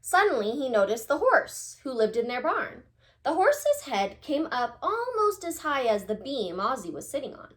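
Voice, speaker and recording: A woman teacher talking to young children and reading a storybook aloud in an expressive, emphatic way. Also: high voice, moderate pace.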